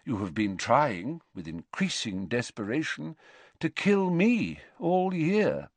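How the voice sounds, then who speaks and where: Brief: man doing a soft-spoken elderly wizard, calm and kindly mild, higher and quieter than the narration, dry close sound. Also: slow, dry recording, full character voice. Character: wise, gentle very old male wizard headmaster.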